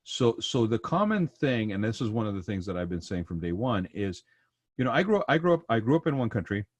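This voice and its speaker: A man's voice: lowish voice, big pitch swings, brisk, no room sound. A man from the Persian Gulf region hosting a podcast in casual conversation, rambling and repeating words, often tagging thoughts with "right?" and "you know". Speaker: podcast host.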